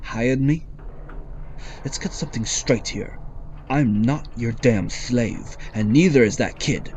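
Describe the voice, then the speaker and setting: An actor playing a stern, distrustful, principled ship captain in an audio drama, blunt and formal. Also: male voice, medium pitch, slow, darkish tone, slight background noise, natural voice. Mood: indignant.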